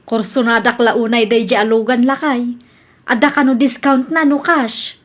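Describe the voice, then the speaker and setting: A woman's voice: on the high side, fairly even intonation, normal speed, lightly acted. A woman playing a boastful, stubborn, cheerfully bossy wife in an Ilocano comedy radio drama, with an Ilocano Filipino accent. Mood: coaxing, breezy.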